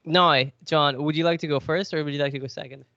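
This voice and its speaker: A man's voice: fairly high pitch, fairly even intonation, fast talker, bright, forceful tone, dry recording, lo-fi sound. A man with a cold, sniffly, chatting and joking as he hosts a podcast quiz. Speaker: podcast co-host. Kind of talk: casual podcast chat.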